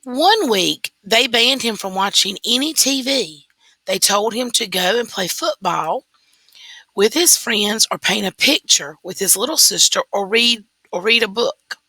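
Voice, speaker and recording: Slow, bright woman reading a story aloud. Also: mid-range voice, lively intonation, breathy edge, no echo, rough recording. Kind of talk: scripted story read-aloud.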